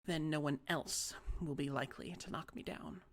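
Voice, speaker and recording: An audiobook reader voicing a spiteful, wheedling tiny old woman in a high, breathy half-whisper. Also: fairly even intonation, moderate pace, natural voice. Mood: sly. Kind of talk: audiobook character voice.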